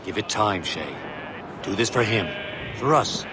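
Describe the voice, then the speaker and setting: A man playing a steady, loyal Assassin in a video game, talking in a dry, matter-of-fact way with gruff friendliness. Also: lowish voice, a little slow. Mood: dry teasing. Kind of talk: video game dialogue acting. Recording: noisy outdoor ambience behind.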